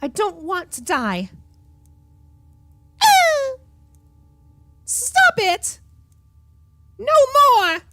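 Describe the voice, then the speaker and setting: A woman's voice: extremely high pitch, wildly swooping pitch, drawn-out words, pauses often, bright, forceful tone, a little hiss or hum, voice pushed up, heavily acted. A woman voicing a panicked, drugged female captive in a visual novel, with dramatic exclamations.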